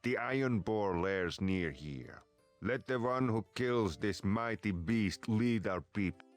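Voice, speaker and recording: A jealous, arrogant Hun warlord for a video game. The voice is fairly low, and he gives orders with haughty authority, scheming beneath every phrase. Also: expressive, slow pace, faint music behind. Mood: haughty, challenging. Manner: haughty, commanding. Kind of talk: video game cutscene voice acting.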